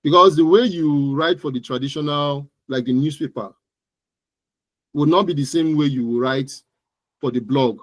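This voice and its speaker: A man's voice: on the high side, normal speed, fairly bright tone, no room sound. A man with a Nigerian accent giving interview answers as an expert guest, explaining things emphatically and often repeating words and phrases. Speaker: guest digital marketing expert.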